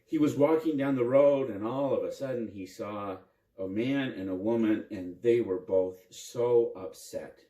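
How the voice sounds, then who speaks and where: A man narrating a children's story in an expressive, simple way, with dramatic repetition. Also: mid-range voice, measured pace. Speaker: priest and storyteller. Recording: roomy echo, distant microphone.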